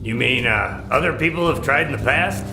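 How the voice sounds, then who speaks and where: An actor playing a cocky, impatient adventurer in a radio drama, flippant and sarcastic, with a gravelly voice. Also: male voice, medium pitch, animated, moderate pace, natural voice. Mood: casual, curious. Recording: roomy echo, music underneath. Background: music.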